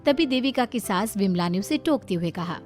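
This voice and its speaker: A woman's voice: mid-range voice, animated, moderate pace, a little mellow, faint music behind. A woman narrating a scripted dramatic moral story.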